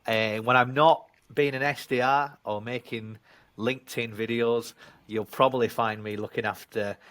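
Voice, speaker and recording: Man, broad yorkshire accent, 30s, animated self-deprecating guest, video call from home. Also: medium pitch, normal speed. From England (Leeds). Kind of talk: casual interview chat.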